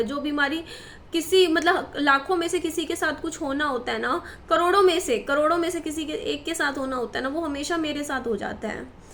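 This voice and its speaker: A woman's voice: sits high, fairly bright tone, slight background noise. A woman in her thirties talking to her viewers in a worried, rambling way, with many fillers and restarts. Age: 30s. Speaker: vlogger. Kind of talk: personal talk to camera.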